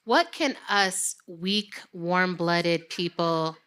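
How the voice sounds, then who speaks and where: Animated woman hosting a live show. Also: on the low side, very slow, clean tone. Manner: animated, acts out her own reactions in quoted voices. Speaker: podcast co-host interviewing her sister. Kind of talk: live on-stage conversation. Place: live event stage before an audience.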